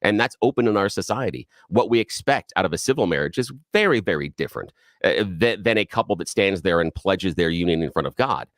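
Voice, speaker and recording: A man's voice: low voice, animated, brisk, hardly any pauses, no room sound, clean studio sound. A man co-hosting a radio talk show, probing and arguing with a caller, leading her through his point step by step.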